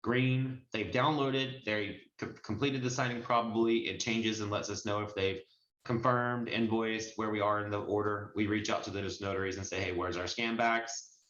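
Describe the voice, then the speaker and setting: A man with a fairly low voice presents a training walkthrough on a group video call. He explains each step informally and talks straight to the learners. The sound is slightly dull, with a clearly roomy echo. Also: steady tone, normal speed, fairly bright tone. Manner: explanatory, step-by-step, addresses listeners directly. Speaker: notary trainer and signing agency presenter. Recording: muffled, roomy online call audio.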